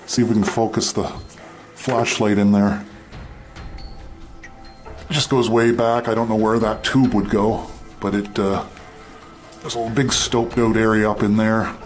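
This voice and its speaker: A man from Canada giving casual, halting running commentary as he explores underground and describes what he sees. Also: fairly low pitch, level tone, soft background music. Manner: halting, unscripted descriptions of surroundings. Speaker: mine explorer and video host. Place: underground in an abandoned mine tunnel.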